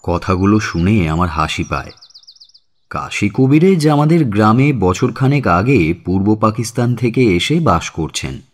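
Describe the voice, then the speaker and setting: An actor playing a sceptical, educated villager who narrates a Bengali audio story in an expressive, wry way, with a Bengali accent. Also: male voice, fairly low pitch, measured pace. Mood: narrating.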